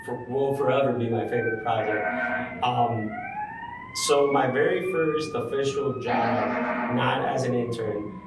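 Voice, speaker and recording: A man's voice: mid-range voice, unhurried, over loud music. Man speaking from across an echoey room. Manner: thoughtful, frequent ums, restarts. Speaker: construction project superintendent, guest. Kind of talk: casual interview conversation. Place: large room at a university center. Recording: roomy, distant mic, faint ambience.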